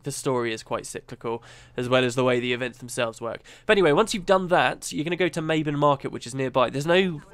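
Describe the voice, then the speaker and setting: A man with a cold narrating a gameplay guide, explaining things step by step in a relaxed, chatty way. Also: mid-range voice. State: has a cold, sniffly. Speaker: gaming channel host.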